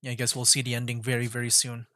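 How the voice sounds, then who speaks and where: A man's voice: medium pitch, monotone, rapid-fire, bright, forceful tone, no room sound, clean studio sound. A man livestreaming a video game, commenting casually and reading dialogue choices aloud. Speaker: gaming livestreamer.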